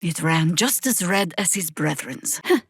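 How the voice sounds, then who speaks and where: A woman's voice: on the low side, expressive, unhurried, breathy edge, no room sound, exaggerated voice. A woman playing an older, seasoned druid warrior in a fantasy video game, wry, dry and commanding in manner. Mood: wry, measured.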